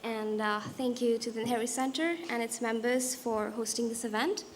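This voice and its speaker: A young woman from India with an Indian accent, giving a prepared talk as a guest speaker in a measured, deliberate way. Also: fairly high pitch, steady tone, slight background noise. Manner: measured, deliberate delivery with occasional restarts. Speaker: guest speaker, designer and artist.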